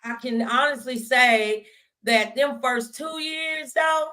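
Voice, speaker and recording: Bright, forceful woman host. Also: high-pitched, takes it slowly, slight background noise. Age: middle-aged. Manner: animated, candid, acts out quoted remarks. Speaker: talk show host. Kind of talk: casual chat on a faith-based talk show.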